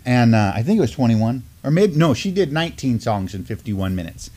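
A man's voice: mid-range voice, big pitch swings, fairly quick, mellow. A middle-aged man with an American accent hosting a community news show in a casual, chatty style. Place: indoor studio, seated at a desk.

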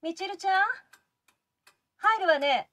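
A woman's voice: very high voice, measured pace, bright, forceful tone, no room sound, full character voice. A woman playing a fussy middle-aged aunt in an anime audio drama. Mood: sweetly solicitous.

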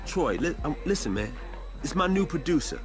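An actor playing a confident veteran hip-hop label boss in a video game, talking in smooth street slang. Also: male voice, a little high, sing-song, moderate pace, over music. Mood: placating, assertive. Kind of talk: video game cutscene acting.

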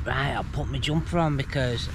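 A man in his forties chatting casually as a camping vlogger, low-key and muttering to himself as he works. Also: normal speed. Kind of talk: casual vlog chat. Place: in and beside a small tent by a busy road. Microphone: camera at arm's length or propped nearby. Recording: noisy outdoor field recording, passing traffic. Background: traffic and outdoor ambience.

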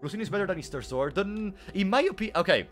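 A young man streams a game, his voice fairly high and swinging widely in pitch as he teases his chat. A sore throat roughens his playful, chatty delivery. Faint music plays under him. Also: animated, fast talker, a bit bright. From Italy. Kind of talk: casual live-stream commentary.

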